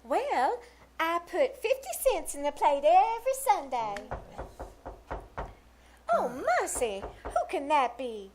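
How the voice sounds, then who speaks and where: A woman playing a coquettish, excitable lady in 1940s radio comedy style, with a gushing, drawn-out delivery. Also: high-pitched, heavily acted. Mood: coaxing, breezy. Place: small town theater stage. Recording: live stage recording with faint noise.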